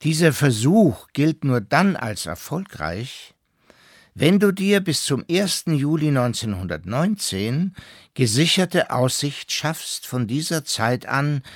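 An actor playing a stern middle-aged father in a radio drama, reading a formal letter in a measured, official manner. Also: medium pitch, no echo, studio quality, heavily acted.

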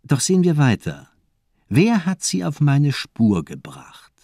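A man's voice: a little high, wildly swooping pitch, a little slow, dark tone, no echo, studio quality, full character voice. An actor playing a murderous, pedantic doctor in a radio crime drama, precise, formal and mocking in his lecturing. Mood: amused, methodical. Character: cold, amused villain doctor, murderous and pedantic.

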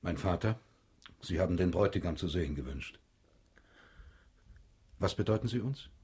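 Stiff troubled gentleman, very deep n gravelly husky, formal, radio drama. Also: expressive, moderate pace, exaggerated voice. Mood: formal, restrained. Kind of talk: German radio drama acting.

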